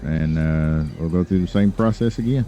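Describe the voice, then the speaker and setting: A man playing a polite, businesslike lawyer and crime boss in an online game roleplay, talking casually in a courteous, hesitant, unhurried way. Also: low voice, warm tone, natural voice. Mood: calm, helpful. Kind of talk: improvised in-character roleplay chat.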